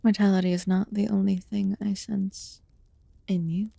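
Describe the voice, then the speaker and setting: A woman playing an ancient, aloof fae in a fantasy ASMR roleplay, murmuring softly and formally with some whispered phrases. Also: mid-range voice, flat delivery, vocal fry, no room sound. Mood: curious, detached. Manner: slow, deliberate, archaic formal wording. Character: ancient aloof female fae recluse, haughty yet quietly caring.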